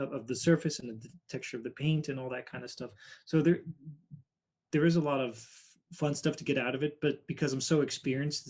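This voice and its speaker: A man with a Dutch accent answering interview questions thoughtfully over a video call, often trailing off quieter. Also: medium pitch, husky. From Netherlands. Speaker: guest artist.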